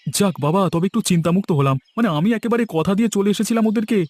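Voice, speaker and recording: A man's voice: high voice, very fast, darkish tone, dry recording. An actor voicing a humble, anxious and helpful village man in a cartoon. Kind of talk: cartoon voice acting.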